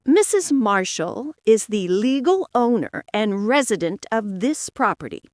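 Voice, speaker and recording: Woman voicing stern, high-voiced female detective. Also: big pitch swings, measured pace, no echo, clean studio sound. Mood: stern, official. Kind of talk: audiobook-style story dialogue.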